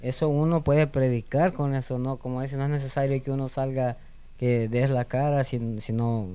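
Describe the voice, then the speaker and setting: Mellow smooth guy, talks slow and unsure, lots of agreeing. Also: medium pitch, flat delivery. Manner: agreeing, hesitant while explaining. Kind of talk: casual chat.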